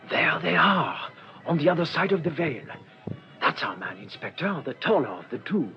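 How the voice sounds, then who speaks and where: A man playing an urgent, puzzled police inspector in a radio drama, commanding and often speaking in hushed tones. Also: a little high, expressive, normal speed, faint music behind. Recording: muffled, noisy radio drama recording.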